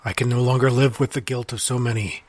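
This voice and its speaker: A man voices a guilt-ridden government scientist making a confession, measured and formal but worn down. His voice has a husky, breathy edge. The sound is close and dry. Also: mid-range voice, flat delivery, a little mellow, dry recording, lightly acted. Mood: remorseful. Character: remorseful male government scientist writing a confession. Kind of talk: audio drama monologue.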